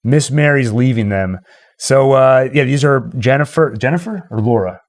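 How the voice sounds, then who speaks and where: A man with a middle-pitched voice co-hosts a livestream. He talks casually and conversationally, commenting on the game he is playing and addressing viewers. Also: expressive, normal speed. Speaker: livestream co-host.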